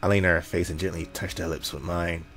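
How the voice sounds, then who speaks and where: A man's voice: sits low, level tone, fairly quick, fairly bright tone, slight background noise. A man reading a visual novel aloud in a jokey way, with casual asides and the odd slip. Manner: jokey, slips and corrects himself while reading. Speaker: gaming video host. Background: background music.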